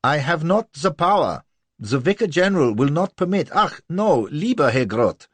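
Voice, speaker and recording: A frightened little court functionary, his voice climbing into a thin squeal as he begs and stammers. He sounds on the edge of tears, pitched far above a man's usual register. Slightly husky, close and dry. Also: very animated, normal speed, dry recording. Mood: protesting. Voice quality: shrill, rising to a squeal. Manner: stammering, cowering, near tears. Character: timid little male court official, panicky and pleading.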